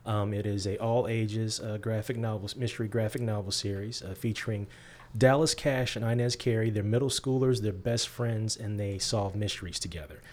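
A man born in Georgia and raised in the Midwest, a guest author answering interview questions thoughtfully, with frequent pauses. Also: on the low side, fairly even intonation, darkish tone. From born in Georgia, grew up in the US Midwest.

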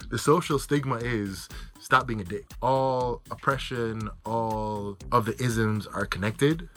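A man's voice: lowish voice, pushed and bright. A man, an author, answering interview questions in a casual, blunt way, often saying "like" and "right?" and swearing freely. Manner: conversational, frequent "like" and "right?", blunt and profane. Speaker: author guest.

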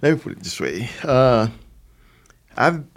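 A man in his sixties with a Haitian accent, answering interview questions conversationally in a halting way with repeated words and asides. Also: medium pitch, very animated. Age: 60s. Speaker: theater company founder, guest.

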